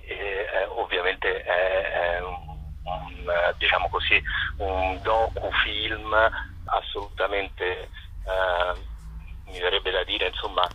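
A man with a very low voice that is bright and forceful. He is being interviewed and explains things off the cuff, haltingly, stretching words and repeating himself as he searches for the next one. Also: slightly rough, dry recording, muffled recording. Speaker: festival artistic director, interview guest.

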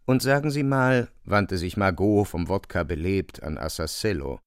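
A man's voice: sits low, moderate pace, smooth, no room sound. A man narrating a literary radio play from a script as its storyteller.

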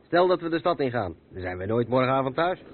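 An actor the playing a seasoned, patient truck driver who explains things matter-of-factly in a radio play. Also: male voice, medium pitch, big pitch swings, quick pace, close to an everyday voice. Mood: patient, explaining. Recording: tinny, noisy old radio recording.

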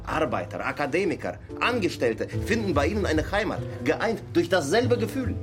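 An actor playing an alarmed art professor in a radio drama, warning emphatically with growing intensity. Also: male voice, on the high side, very animated, normal speed, music in the background. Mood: grim, intensifying.

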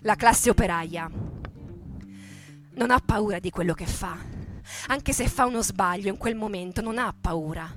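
A woman's voice: mid-range voice, measured pace, pauses often, slight background noise, lightly acted. A woman playing a fiery, defiant male factory worker in a radio theatre monologue, speaking colloquially in short, emphatic, repetitive phrases. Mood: defiant, firm. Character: militant male Fiat factory worker recalling the 1962 revolt, fiery, defiant.

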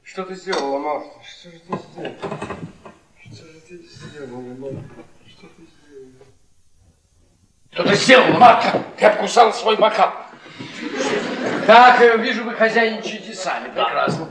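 A man's voice: sits high, big pitch swings, normal speed, long pauses, a crowd in the background. An actor playing a beaten-down middle-aged professor in a Russian radio play, needling and sardonic, mockingly repeating his words. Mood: agitated needling. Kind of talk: Soviet-era Russian stage-style radio play acting. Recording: roomy distant stage-like 1980s radio play.

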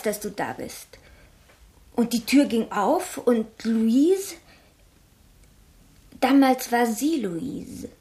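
An actress in a German radio drama playing a traumatised, childlike, fearful woman who speaks haltingly and repeats herself. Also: high-pitched, darkish tone, exaggerated voice. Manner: halting, repetitive, childlike storytelling. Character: traumatised young woman living under her dead double's name, childlike, fearful.

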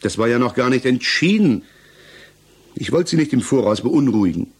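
An actor playing a troubled, defensive suspect under interrogation in a German radio play, justifying himself haltingly. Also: male voice, medium pitch, animated, husky. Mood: uneasy, defensive.

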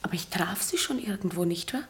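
An actress in a German radio play as an earnest, troubled patient, polite, hesitant and self-doubting. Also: female voice, lowish voice, animated, brisk, a bit bright. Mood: hesitant, despairing.

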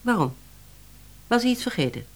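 An actress playing a brisk, businesslike woman publishing editor in a Dutch radio play, questioning and suspicious. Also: a little high, sing-song. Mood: probing, incredulous.

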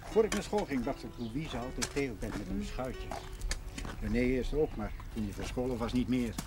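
An actor playing a gentle, modest older retired schoolteacher in a naturalistic seventies television drama, hesitant but earnest. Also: male voice, mid-range voice, lively intonation, moderate pace, lightly acted. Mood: homely, wistful. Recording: 1970s television soundtrack with music.

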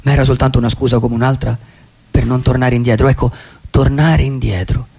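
A male actor playing a melancholy wandering drifter who tells his life in a poetic, theatrical radio monologue with a husky, gravelly voice. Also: medium pitch, fairly even intonation, a little mellow. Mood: reflective. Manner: theatrical, lyrical, with pauses for effect.

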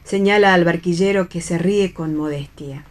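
An actress playing an emphatic narrator who reads the stage directions of a radio play. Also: female voice, lowish voice, normal speed, close to an everyday voice. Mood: measured. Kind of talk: theatrical radio play reading.

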